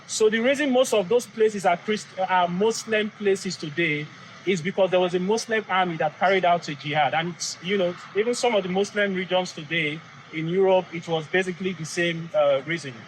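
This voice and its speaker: A man's voice: high-pitched, slight background noise, poor audio. Assertive young Nigerian man. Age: young adult. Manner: firm, assertive, quick to agree and build his point. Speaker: college student in a campus debate. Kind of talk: explaining history in a public debate.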